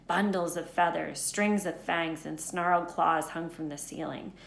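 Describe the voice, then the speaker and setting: Lower-voiced woman reading aloud, echoey room. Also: fairly even intonation, a little slow, gravelly. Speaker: read-aloud storyteller. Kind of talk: scripted children's book narration.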